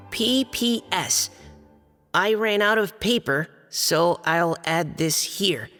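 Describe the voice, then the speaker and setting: A dutiful young knight reads a long letter aloud in a slow, deliberate way. His pitch sits in a middle range, and the hall gives a long reverb under faint music. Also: a bit bright, exaggerated voice. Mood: steady reading. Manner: careful, formal reading, halting when flustered. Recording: game cutscene with reverb and music.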